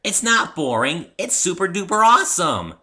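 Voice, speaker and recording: A man voicing a bubbly, excitable female pony who loves parties, gushing and sing-song, in a dramatic story reading. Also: sits high, moderate pace, pitched above the performer's own voice, exaggerated voice. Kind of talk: dramatic reading of fan fiction.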